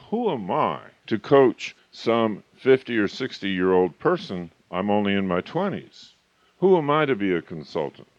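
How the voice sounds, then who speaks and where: A man in his fifties giving an emphatic motivational talk, slow and deliberate, with rhetorical questions. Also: lowish voice, no echo. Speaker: motivational author and speaker. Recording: dull, lo-fi dry recording.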